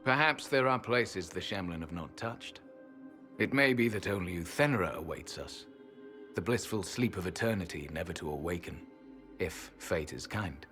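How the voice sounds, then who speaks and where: Ancient elf sentinel, very low pitch, hard-edged tone, grave, terse, bitter, fantasy game drama, music underneath. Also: male voice, animated, normal speed, a bit bright. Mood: resigned. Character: ancient, solemn, bitter male elf temple guardian.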